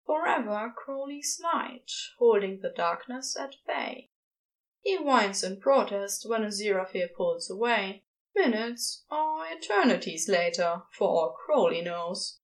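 A woman reading scripted fiction aloud as an expressive story narrator. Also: a little high, rough recording. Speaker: podfic narrator.

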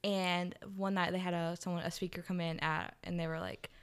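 A woman's voice: on the low side, level tone, fairly quick, clear, resonant tone. A woman of nineteen, a college student, chatting casually as a podcast guest. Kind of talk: casual chat.

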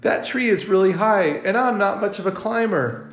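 A man's voice: high-pitched, normal speed, echoey room, voice pushed up. A man voicing a timid, whiny young bear cub from a storybook. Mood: whining, scared.

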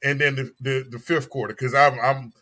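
A man in his early forties hosting a podcast, talking in an animated, emphatic way and often repeating phrases. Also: mid-range voice, normal speed. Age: 40s. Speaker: podcast host. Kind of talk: casual chat.

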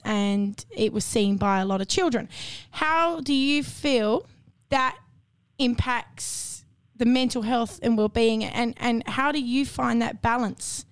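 A woman with a croaky voice co-hosts a radio chat and feels her way through long questions. Her voice sits a bit high and sounds close and dry. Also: dry recording. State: croaky from illness. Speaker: radio co-host. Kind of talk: radio interview.

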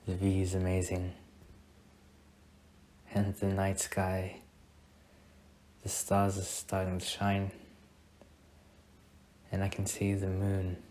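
A man acting as a devoted young boyfriend speaks in a very low, soft voice. His delivery is intimate and unhurried, protective and tender, in the style of an ASMR date roleplay. Clear ambient noise sits behind the voice. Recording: clearly noisy recording with ambient sound.

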